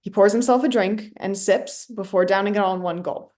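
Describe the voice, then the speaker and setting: Woman reading out stage directions for a play, scripted narration, mid pitch voice. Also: fairly quick, a little mellow. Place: remote online staged reading.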